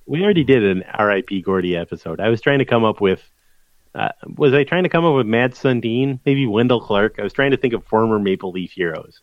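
A man co-hosting a casual sports podcast, with dry, deadpan sarcasm. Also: lowish voice, a little fast, no echo, muffled recording. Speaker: podcast co-host.

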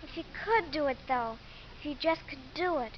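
An actress playing a coach's wistful, coaxing young daughter in a 1950s radio drama. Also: female voice, high voice, animated, moderate pace. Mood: wistful pleading. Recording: muffled, hissy 1950s radio recording.

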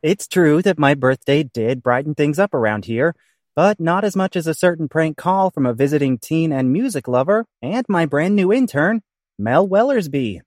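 A man's voice: fairly high pitch, animated, moderate pace, darkish tone, no echo, studio quality. A man narrating and performing in a scripted comedic audio drama.

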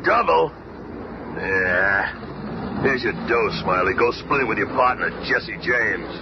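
An actor playing a gruff, wisecracking but big-hearted monster hero in a radio drama, gravelly and streetwise. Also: male voice, fairly low pitch, expressive, slow. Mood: sarcastic, irritated. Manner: streetwise, blustering slang. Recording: muffled, very noisy old radio recording.

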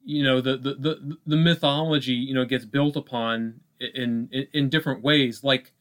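A man co-hosting a podcast in a casual film discussion, talking haltingly with repeated words and lots of filler phrases. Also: mid-range voice, steady tone, a bit bright. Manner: halting, repetitive, peppered with "like" and "you know". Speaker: podcast co-host.

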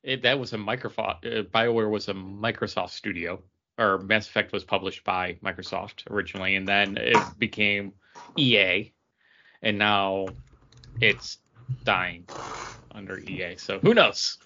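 An easygoing man musing aloud on a casual podcast. His voice sits fairly low but has a bright, forward tone. The recording sounds dull and lo-fi. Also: steady tone, measured pace. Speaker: podcast co-host. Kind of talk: casual chat.

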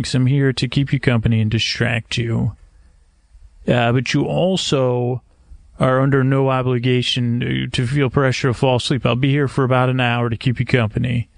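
A man hosting a bedtime podcast, rambling in a halting, meandering way, often interrupting himself and getting mixed up, with a creaky, gravelly voice. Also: medium pitch, little pitch movement, no room sound. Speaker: podcast host. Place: homemade screened outdoor porch at night.

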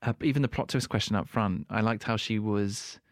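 A man's voice: lowish voice, brisk, no room sound, clean studio sound. A man hosting an interview podcast, enthusiastic and playful, laughing a lot. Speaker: podcast host. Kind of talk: casual interview chat.

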